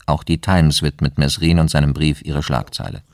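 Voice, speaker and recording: A man reading scripted documentary-style narration for a radio drama in a factual, measured manner. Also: a bass voice, level tone, dry recording.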